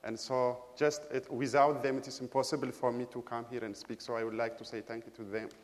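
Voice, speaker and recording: Ethiopian male guest preacher, mid pitch, measured and halting, reverberant church sanctuary. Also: little pitch movement, noisy background. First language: Amharic. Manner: measured, halting with frequent pauses and fillers. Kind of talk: sermon.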